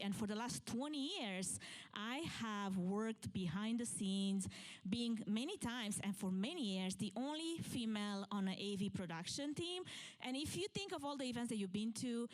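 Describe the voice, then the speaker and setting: A middle-aged woman from Romania with a Romanian accent, giving a motivational conference talk in an earnest, conversational way. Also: on the high side. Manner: earnest, conversational, with fillers and restarts. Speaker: keynote speaker. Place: conference room with audience.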